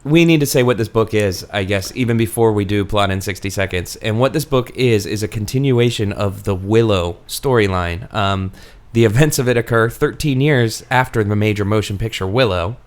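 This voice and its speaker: A man's voice: on the low side, normal speed, hardly any pauses. Man hosting a casual podcast. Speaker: podcast host. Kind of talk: casual book discussion chat.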